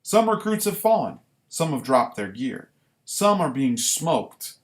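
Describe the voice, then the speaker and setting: A man reading his own writing live and commenting on it casually, in deliberate, halting short phrases. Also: fairly high pitch, big pitch swings. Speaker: writer reading own work; former military recruit.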